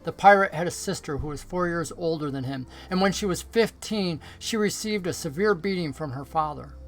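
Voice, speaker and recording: Male storyteller, slightly high register, expressive scripted holiday narration, music underneath. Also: moderate pace. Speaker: story reader, pastor.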